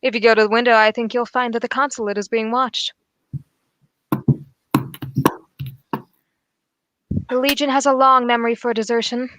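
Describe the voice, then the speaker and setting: High-voiced, composed gentleman detective played by a woman. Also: flat delivery, lightly acted, rough recording. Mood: calm, grave. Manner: formal, measured, explanatory. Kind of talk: old radio drama acting.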